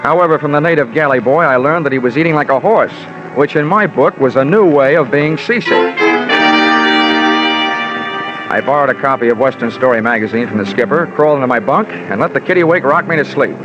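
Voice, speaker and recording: A man's voice: medium pitch, animated, fast talker, hardly any pauses, close to an everyday voice. An actor playing a wry, hard-boiled American investigator, telling his story in clipped, colourful 1950s radio drama narration. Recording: muffled old radio broadcast, loud music behind.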